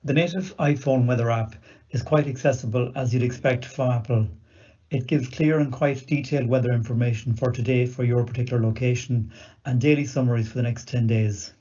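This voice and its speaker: Very mellow male demo narrator. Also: medium pitch, fairly even intonation, a little fast. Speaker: demonstration narrator. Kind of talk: scripted explanatory narration. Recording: dull, muffled recording.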